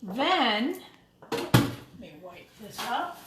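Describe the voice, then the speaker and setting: A woman's voice: on the high side, very animated, very slow. A woman giving a step-by-step cooking demonstration for children, explaining each thing simply and addressing them directly. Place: indoors in a kitchen. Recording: roomy echo, clattering kitchen noise.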